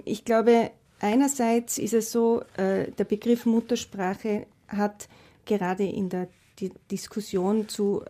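A woman researcher of multilingualism, speaking fluently and in measured, academic terms on a panel. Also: mid-range voice, mellow. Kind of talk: panel discussion.

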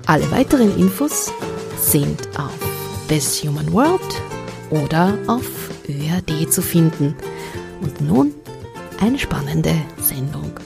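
A woman hosting a live radio show from the studio, announcing in a measured, formal way. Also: sits low, sing-song, gravelly, over music. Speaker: radio host. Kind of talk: radio moderation. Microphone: studio microphone.

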